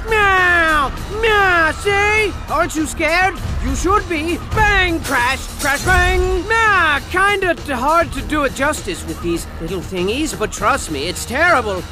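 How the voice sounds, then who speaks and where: A man's voice: very high voice, full character voice. A man voicing a playful, sarcastic storybook narrator for a comedic video game, veering between grand theatrical declaiming and muttered, rambling asides. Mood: excited, alarmed. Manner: swings from grand declaiming to muttered asides and silly sound effects.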